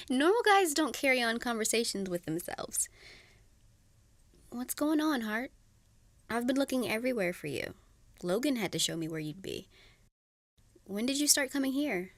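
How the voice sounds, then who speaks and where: A woman playing a confident, teasing young farm woman in love in an animated drama, playful and possessive. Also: fairly high pitch, unhurried, long pauses, dry recording. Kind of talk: naturalistic animated series acting.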